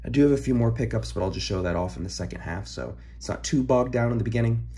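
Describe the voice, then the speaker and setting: A hoarse young man, recovering from an illness, chatting casually as he opens trading card packs at his desk, rambling with ums and throat clearing. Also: mid-range voice, fast, mellow. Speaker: card-collecting channel host. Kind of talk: casual pack-opening chat. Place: home room, filmed over a desk.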